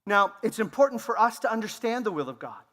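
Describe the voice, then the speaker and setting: A man preaching a sermon in English in short phrases, pausing for a live interpreter in a church. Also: high-pitched, very animated, pushed and bright. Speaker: guest preacher. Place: church sanctuary. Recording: long church hall reverb.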